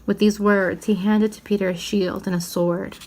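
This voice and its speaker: A woman reading a children's book aloud as narrator, in an expressive storytelling style. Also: medium pitch, normal speed. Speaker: story reader.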